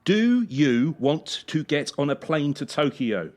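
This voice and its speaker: A man's voice: a little high, sing-song, takes it slowly. A man acting in a radio play as a demanding, commanding gymnastics trainer.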